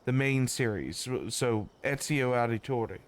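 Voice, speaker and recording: A man doing casual live stream commentary on a game, rambling and halting as he repeats his words. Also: mid-range voice, lively intonation. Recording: game music behind the voice.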